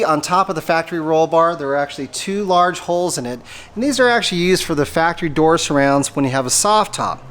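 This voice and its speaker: A man's voice: fairly high pitch, fairly bright tone, slight background noise. A man presenting a methodical, step-by-step hands-on installation tutorial for a product he made. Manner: methodical, explanatory. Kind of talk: step-by-step hands-on how-to demonstration.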